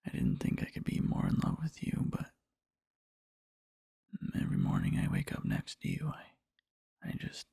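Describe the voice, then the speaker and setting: A man playing an adoring boyfriend in a soft ASMR roleplay, murmuring slowly and tenderly to a sleeping partner in a breathy, husky whisper. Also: very deep voice, wildly swooping pitch, no echo, studio quality, exaggerated voice. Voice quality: breathy, husky, with creaky fry. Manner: slow, halting, tender murmuring.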